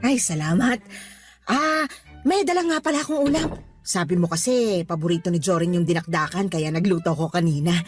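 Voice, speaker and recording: An actress plays a middle-aged woman who is doting and friendly, in a radio drama. She speaks at a medium pitch in a homely, coy, gently playful way. Music is faint in the background. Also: lively intonation, a little fast, close to an everyday voice. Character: friendly, doting older woman being courted.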